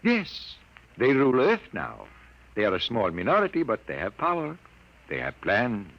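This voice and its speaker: A man's voice: medium pitch, huge pitch swings, normal speed. A man acting a grave, troubled scientist of sixty-two in a 1950s radio drama. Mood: earnest. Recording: muffled old radio broadcast.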